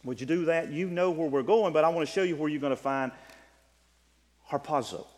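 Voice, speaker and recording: A man preaching a sermon, teaching from the Bible in an emphatic way that swings between loud and soft. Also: a little high, brisk. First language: English. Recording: long reverb of a hall.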